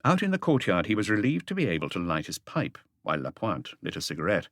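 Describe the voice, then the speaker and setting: A man's voice: sits low, wildly swooping pitch, fast, fairly bright tone, no room sound, studio quality. A man reading scripted literary narration for a mystery audiobook.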